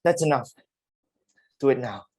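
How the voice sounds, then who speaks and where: A man playing a weary, dying father in a staged play reading, speaking deliberately and with open emotion. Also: medium pitch, lively intonation, darkish tone, slightly rough. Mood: commanding, urgent. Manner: slow, deliberate, emotive delivery. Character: dying, confined father, weary, pleading and confessional.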